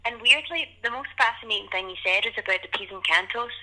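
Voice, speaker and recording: An actress playing an enthusiastic, irreverent young poet in an audio play, heard through a telephone filter. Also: female voice, a little high, fast, bright, forceful tone, rough recording. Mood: fascinated. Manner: keen, informal, sweary.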